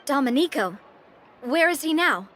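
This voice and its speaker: High-voiced, confident young syndicate leader. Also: female voice, animated, normal speed, a bit bright, slight background noise. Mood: helpful, frank. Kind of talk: English video game dub.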